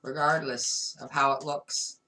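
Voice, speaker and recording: A woman with a deep, low voice works through a tarot spread, speaking directly to her viewer. She talks emphatically and repeats herself as she goes. Faint music plays in the background. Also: flat delivery, measured pace, bright, forceful tone, low-quality recording. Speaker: tarot reader. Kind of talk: tarot card reading monologue.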